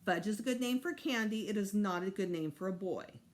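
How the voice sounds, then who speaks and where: A woman's voice: mid-range voice, fairly quick, lightly acted. A woman voicing a prim female kindergarten teacher in a storybook, formal, emphatic and indignant.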